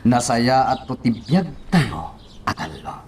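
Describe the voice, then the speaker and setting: Male actor as scheming gang boss, radio drama, coaxing turning to threats, very animated pitch, noisy track. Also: slow. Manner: menacing, swinging from coaxing to threats. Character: menacing, manipulative male crime boss scheming against a woman. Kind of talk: melodramatic radio drama acting.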